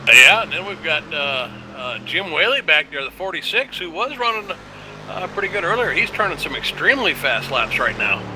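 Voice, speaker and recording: A man giving live color commentary on a race, analyzing calmly with frequent hesitant fillers. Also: on the high side, normal speed, background noise.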